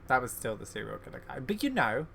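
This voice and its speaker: A man's voice: mid-range voice, sing-song, fast talker, gravelly, sharp tone, slight background noise. A man giving casual gameplay commentary as a streamer, sometimes reading dialogue aloud. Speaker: game streamer.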